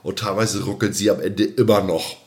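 A man with a fairly low voice talks casually as a podcast co-host. His speech is colloquial and full of slang, and he often doubles up words while he talks. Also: fairly even intonation, moderate pace, a little mellow.

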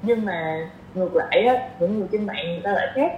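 A woman's voice: mid-range voice, quick pace. A woman podcast guest casually explaining her opinions, often repeating phrases for emphasis. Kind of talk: casual chat, sharing opinions. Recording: roomy echo, dull lo-fi sound, faint noise.

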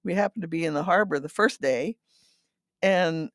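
A woman in her eighties from the Netherlands, reminiscing in a halting, rambling way as an interview guest, often repeating words and checking for agreement. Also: lowish voice, no room sound, clean studio sound. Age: 80s. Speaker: interview guest telling her life story. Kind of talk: reminiscing, conversational storytelling.

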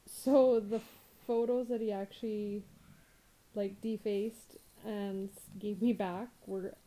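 A woman's voice: mid-range voice, fairly even intonation, takes it slowly, mellow, low-quality recording. Blunt, wry woman in her thirties. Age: 30s. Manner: blunt and wry, swears casually. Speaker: podcast co-host. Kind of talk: casual family chat.

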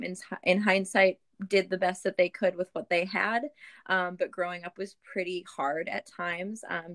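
An American woman telling her personal story as a guest in a candid, reflective, halting way, often restarting her phrases. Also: fairly low pitch. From Boston area, Massachusetts, USA. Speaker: podcast guest, business owner.

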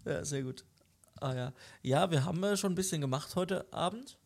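A man's voice: a little high, normal speed, creaky. A young man co-hosting a podcast from his living room, talking casually with a cold, hesitant and full of fillers. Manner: hesitant, many fillers and restarts. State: nasal with a cold. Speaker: podcast co-host. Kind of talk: casual chat.

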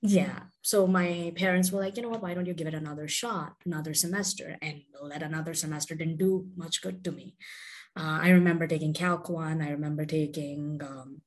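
A woman's voice: low voice, fairly even intonation, brisk. A woman in her thirties, from India, telling her story as a guest in a chatty, self-deprecating way with many fillers. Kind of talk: casual interview storytelling.

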